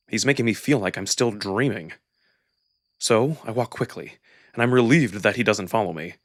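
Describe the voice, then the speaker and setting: A man giving an expressive, acted first-person narration of a visual novel. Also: lowish voice, a little hiss or hum. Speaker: narrator and voice actor.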